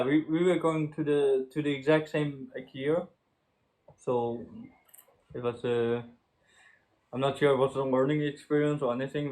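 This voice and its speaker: Young man, halting casual chat. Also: medium pitch, darkish tone, low-quality recording. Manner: halting, searching for words. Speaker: co-host in a story chat.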